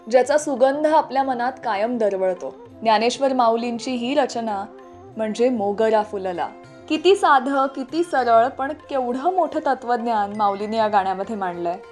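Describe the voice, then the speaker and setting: A woman's voice: fairly high pitch, a little mellow. A woman hosting a radio show, telling stories about music as scripted narration. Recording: music playing under the voice. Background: background music.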